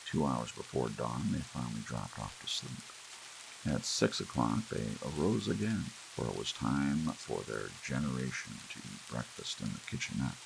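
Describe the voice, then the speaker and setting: A man reading a story aloud as an audiobook narrator, in a relaxed bedtime style. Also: very deep voice, normal speed, gravelly. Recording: faint hiss and rain sound. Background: soft rain.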